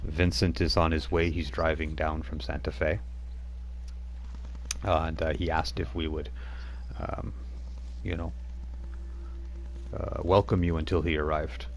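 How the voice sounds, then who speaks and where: A man voicing an old, weathered male shaman who calmly welcomes travelers, in a tabletop game. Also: a bass voice, a little slow, pauses often, a little hiss or hum, poor audio. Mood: welcoming.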